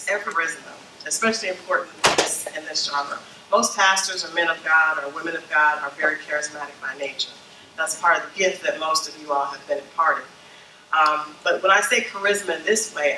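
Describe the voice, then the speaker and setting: A woman's voice: sits low, pushed and bright, reverberant, distant mic. Scratchy-voiced woman giving a workshop talk. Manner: conversational, checks in with "okay?" and "you know?". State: scratchy from a summer flu. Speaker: branding consultant speaking to church leaders. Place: meeting room with a small group.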